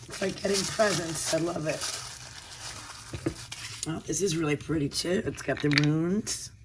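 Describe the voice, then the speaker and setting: A woman with a hoarse voice from a cold, hosting a casual unboxing video and gushing softly over pretty items. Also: deep voice, measured pace, background noise. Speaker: unboxing channel host.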